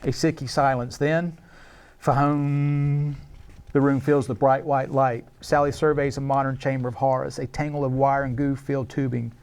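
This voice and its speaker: Mid-pitched man narrating a screenplay. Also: flat delivery, moderate pace, a little mellow, clear, resonant tone. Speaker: narrator reading stage directions. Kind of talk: scripted narration at a live table read.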